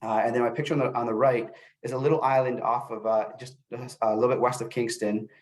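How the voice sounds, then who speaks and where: A middle-aged man, born in El Salvador and raised in North America, giving an informal webinar presentation in a halting way, often repeating words as he goes. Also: medium pitch, steady tone. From born in El Salvador, grew up in North America. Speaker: webinar presenter, youth services manager.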